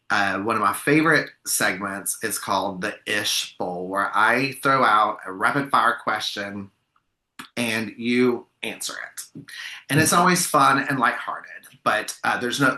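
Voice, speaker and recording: A man's voice: lowish voice, slow, bright, forceful tone, roomy sound, low-quality recording. A man hosting a podcast, chatting enthusiastically and rambling with restarts as he interviews a guest. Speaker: podcast host. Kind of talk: casual interview chat.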